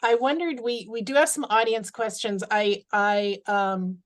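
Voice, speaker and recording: A woman's voice: mid-range voice, fairly even intonation, unhurried. A woman hosting a webinar on a video call, thanking the speaker and moving to audience questions with frequent ums and restarts. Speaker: webinar host. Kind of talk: webinar moderation.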